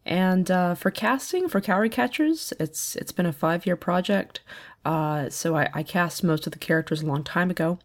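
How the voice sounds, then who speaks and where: A woman giving informal spoken advice in a measured, explanatory manner. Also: on the low side. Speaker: audio fiction producer and contributor.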